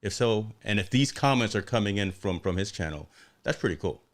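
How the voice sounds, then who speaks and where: A man's voice: fairly low pitch, expressive, a little fast. A male host running a casual livestream interview over a video call, upbeat, repeating words and tagging on "right?".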